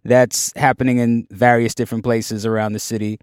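A man hosting a casual radio podcast, playful and quick with banter. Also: medium pitch, little pitch movement, smooth, no echo, clean studio sound. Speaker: podcast host.

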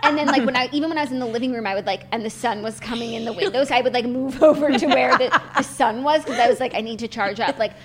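A woman's voice: fairly high pitch, fast, hardly any pauses, a crowd in the background. A woman hosting a book podcast, chatting casually with many "like" fillers. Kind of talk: casual chat.

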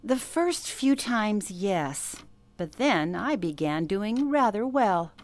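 A woman's voice: medium pitch, very animated, slow pace. An actress playing an older former switchboard operator who recalls her youth with emphasis, in a radio play interview. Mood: proud recollection.

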